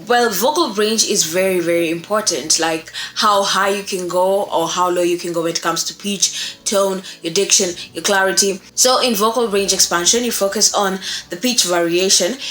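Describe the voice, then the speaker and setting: A young woman presents a lesson in a conversational, emphatic way, with a mid-pitched voice. The room adds a clear echo around her words, and faint music plays underneath. She repeats key words and keeps checking in with the listener. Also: moderate pace, a bit bright. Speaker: voice-over course presenter, voice actor. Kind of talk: informal instructional talk to camera. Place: small room at home, indoors.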